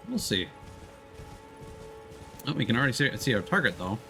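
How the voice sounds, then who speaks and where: A man's voice: medium pitch, sing-song, moderate pace, pushed and bright, faint music behind. A man giving casual let's play commentary as a game streamer, musing and thinking aloud. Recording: clear background noise behind voice.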